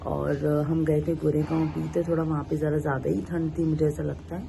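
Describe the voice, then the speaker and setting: A young woman from India narrating a casual daily vlog with a hoarse, gravelly voice, chatty and full of fillers. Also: sits low, flat delivery, normal speed, dark tone, slight background noise. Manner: chatty, mixing in English phrases, many fillers. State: hoarse, sore throat. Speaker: vlogger.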